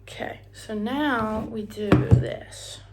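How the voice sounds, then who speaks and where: A woman's voice: on the high side, takes it slowly, heavy background noise. A young Australian woman with an Australian accent, chatting casually and rambling while she does her hair and makeup at home. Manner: chatty, rambling, sings snatches of songs, drops into whispers. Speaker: beauty vlogger. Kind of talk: casual get-ready-with-me chat.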